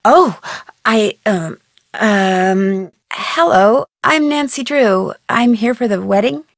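A woman voicing an inquisitive, polite young female detective in a video game, with expressive delivery. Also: medium pitch, slow, vocal fry, no echo, studio quality. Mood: hesitant, polite.

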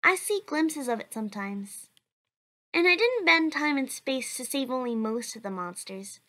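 A woman's voice: high voice, lively intonation, moderate pace. A woman voicing a cheerful, earnest child who answers questions for a blog in a comic dub. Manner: bright, chatty, earnest.

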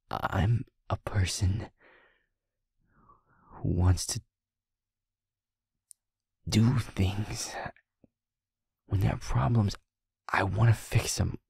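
A man playing a stubborn, hurting young werewolf boyfriend in an intimate audio roleplay, with a husky, breathy delivery that often drops near a whisper and sounds earnest and strained. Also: on the low side, steady tone, darkish tone, dry recording, clean studio sound, exaggerated voice. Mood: tender, earnest. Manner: halting, earnest, emotionally strained.

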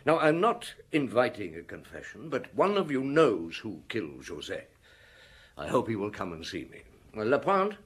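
An actor playing a shrewd, middle-aged police detective chief in a radio drama, husky and deliberate, with dryly polite authority. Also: male voice, fairly low pitch, huge pitch swings, fairly bright tone, exaggerated voice. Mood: stern, probing. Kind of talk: radio drama acting, questioning and recounting.

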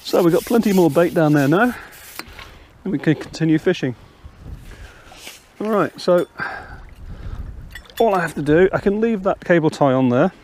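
A man's voice: on the high side, animated, moderate pace, long pauses, darkish tone, slight background noise. Chatty older man explaining on a riverbank. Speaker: angler and channel host. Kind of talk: casual fishing demonstration. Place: outdoors on a riverbank.

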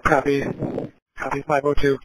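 Male police dispatcher, even clipped readbacks, noisy radio audio; steady voice for scanner audio. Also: mid-range voice, normal speed. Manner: clipped, repeats back each call.

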